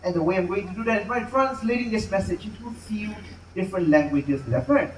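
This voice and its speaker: High-pitched male show host. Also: fast talker. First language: Mandarin. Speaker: live animal show host. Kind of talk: animated stage announcing to an audience. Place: outdoor amphitheater at night. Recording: echoey amplified outdoor venue, distant, noisy.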